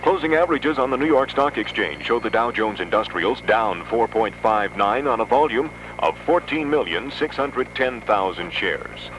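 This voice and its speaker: Male news anchor for a 1970s radio bulletin: middle-pitched, measured, formal announcer read, on a noisy old aircheck. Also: animated. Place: radio studio.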